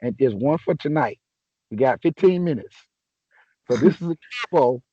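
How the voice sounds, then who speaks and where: A man hosting a casual sports chat, a little raspy from a sore throat, talking in a laid-back, joking way with many restarts. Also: medium pitch, animated, moderate pace, warm tone, dry recording, dull audio. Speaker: podcast host.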